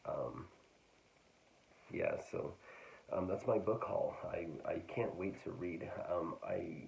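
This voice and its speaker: A man casually talking through a book haul, hesitant and full of fillers, his voice getting raspy. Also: low-pitched, slow, darkish tone, poor audio. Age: adult. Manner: hesitant, full of ums and restarts. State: getting raspy, clearing throat. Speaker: book vlogger.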